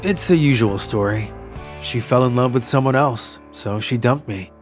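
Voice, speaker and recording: A man playing a kind, lovelorn young traveller in an English manga dub, narrating his story and speaking in an earnest, soft-hearted way. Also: mid-range voice, moderate pace, faint music behind, natural voice. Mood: regretful.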